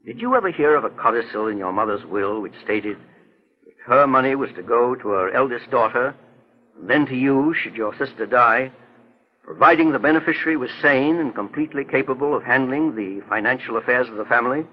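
A man playing a kindly, assured older gentleman detective in a 1940s radio drama. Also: medium pitch, lively intonation, brisk, natural voice. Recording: dull lo-fi 1940s radio recording.

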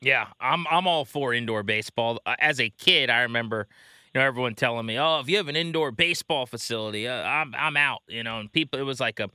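A man's voice: medium pitch, fast talker, sharp tone, clear, resonant tone, no room sound. A man hosting a sports show, interviewing in a casual, conversational style.

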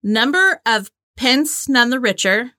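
A woman's voice: a little high, animated, takes it slowly, bright, forceful tone, no room sound, studio quality. A woman co-hosting a casual trivia game, chatting and bantering in a playful, giggly way. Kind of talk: casual bantering quiz chat.

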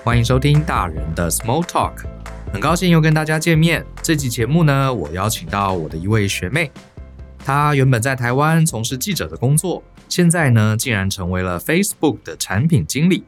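A man's voice: mid-range voice, sing-song, normal speed, soft background music. A man with a Taiwanese Mandarin accent hosting a podcast, introducing the show and a guest. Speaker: podcast host.

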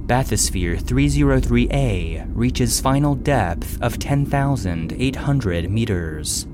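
A man's voice: mid-range voice, animated, slow, music in the background. A man voicing an impersonal facility database, reading classified logs in a formal, clinical manner. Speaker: database narrator reading an incident log. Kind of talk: scripted narration.

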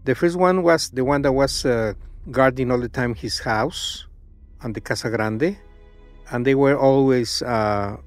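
A man answering interview questions in a halting, casual way, with many pauses and restarts. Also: mid-range voice, smooth, no room sound, faint music behind. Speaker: interviewee, former church member.

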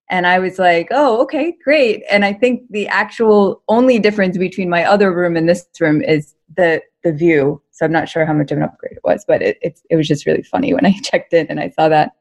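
Chatty woman guest from Connecticut. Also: fairly low pitch. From Connecticut, United States. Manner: chatty and self-amused, rambling asides. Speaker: podcast guest, researcher. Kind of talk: casual interview talk. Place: hotel room.

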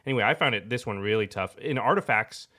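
A man co-hosting a casual podcast, giving relaxed, rambling explanations with fillers. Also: on the low side, fast talker. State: short on sleep. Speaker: co-host.

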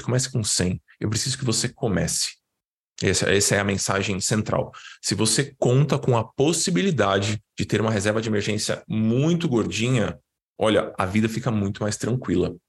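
A man's voice: on the low side, creaky, breathy edge. A man with a Brazilian accent giving an informal, didactic live lesson as a financial educator, repeating words for emphasis in a conversational way. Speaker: financial educator leading an online class.

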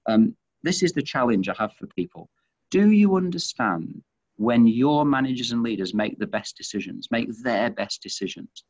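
Manchester guy, middle aged, interview on leadership, kinda husky, slightly dull lo-fi sound. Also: medium pitch, lively intonation, normal speed, darkish tone. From England. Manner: thoughtful, repeats words while finding his point. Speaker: head of an organization.

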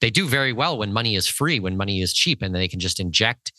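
A man with a bright, forward voice, the kind heard interviewing guests on a business podcast from a dry, padded room. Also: lowish voice, lively intonation, fast talker, no echo. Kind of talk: conversational interview.

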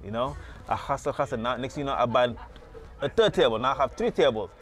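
A man's voice: medium pitch, expressive, fast. A man from Senegal, a market booth owner telling his story in a casual street interview, rambling and repeating words. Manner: rambling, repeats words, lots of "you know". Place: outdoors at a beach market booth.

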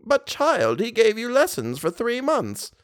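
A man voicing an anxious old widow, high-pitched. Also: huge pitch swings, a little slow, no room sound, clean studio sound, heavily acted. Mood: fond, chiding. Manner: fretful, exclamatory. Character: anxious elderly widow, kindly, simple adoptive mother.

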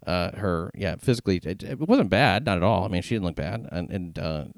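A man's voice: deep voice, expressive, brisk, creaky, no echo, clean studio sound. A New man hosting a casual chat, rambling and crude with lots of fillers. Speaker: podcast host.

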